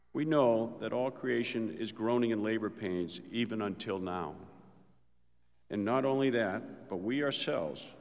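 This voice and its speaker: A middle-aged man reading scripture as lector at a church lectern, measured and deliberate. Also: lowish voice. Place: large church, indoors. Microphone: lectern microphone. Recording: long church reverb.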